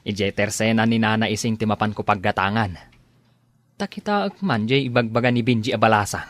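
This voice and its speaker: A man's voice: on the low side, fairly quick, fairly bright tone, no room sound, lightly acted. A man acting in an audio drama as a chummy, flirtatious Filipino village drinking buddy who is always broke.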